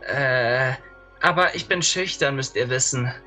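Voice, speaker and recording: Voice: medium pitch, a little slow, bright, forceful tone. A giggly, hesitant live-stream host bantering casually with others. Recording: music behind the voice. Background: music.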